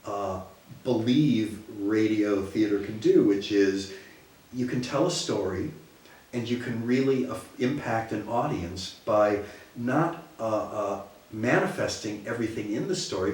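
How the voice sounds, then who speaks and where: A man giving a reflective interview in a halting, thoughtful way. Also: lowish voice, darkish tone. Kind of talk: reflective documentary interview talk. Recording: very echoey, distant from mic.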